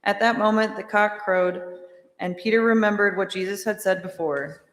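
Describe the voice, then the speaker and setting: A woman narrating a scripture drama in a church, with a measured, formal, solemn delivery. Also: medium pitch, fairly even intonation, a little hiss or hum. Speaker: narrator of Passion reading. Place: large church, live reading. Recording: echoey church with long reverb.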